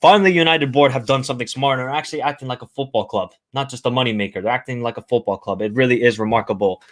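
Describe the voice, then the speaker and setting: A man's voice: medium pitch, very fast. A young man with an American accent hosting a casual football livestream, reading viewer comments and giving blunt opinions, often repeating himself. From half American, half Finnish, living in Finland. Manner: chatty, opinionated, repeats phrases.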